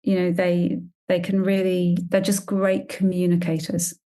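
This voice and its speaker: A woman's voice: on the low side, completely flat delivery, a little slow, mellow. A woman scientist answering conversationally in an interview, emphatic and repeating phrases for stress. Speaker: scientist and grant panel member.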